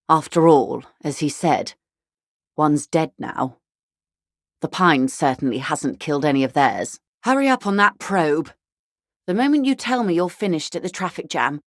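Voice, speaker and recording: Posh middle aged corporate negotiator lady for a game, lowish voice, super dry sound, composed n wry, very formal. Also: no room sound, full character voice. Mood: cool, diplomatic. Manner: composed, formal, diplomatic, faintly ironic. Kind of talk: video game character dialogue.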